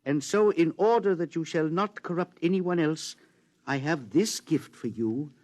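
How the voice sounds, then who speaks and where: An actor playing a foreign engineer who speaks in a measured, formal, quietly accusing way, in a 1950s radio drama. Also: male voice, fairly high pitch, no echo, lightly acted. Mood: grave. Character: bitter foreign male engineer, calm avenger.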